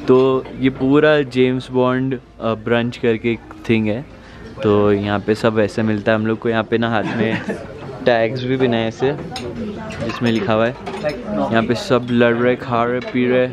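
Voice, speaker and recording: A young man with an Indian accent narrating a casual travel vlog, chatty and excited, mixing English words into his talk. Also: medium pitch, a little slow. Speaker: travel vlogger. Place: mountain cable cars and summit restaurant, busy with people. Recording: loud crowd chatter around.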